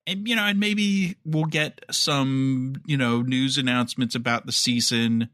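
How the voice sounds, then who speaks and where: A man's voice: medium pitch, steady tone, a little slow, a bit bright, no echo, clean studio sound. A man co-hosting a podcast, casually discussing news and speculating in a conversational way. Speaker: podcast host.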